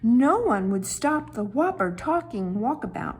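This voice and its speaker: A woman voicing a childlike, tender-hearted talking stone that tells a children's Bible story with dramatic, hushed and emphatic delivery. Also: a little high, sing-song, a little slow. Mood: solemn.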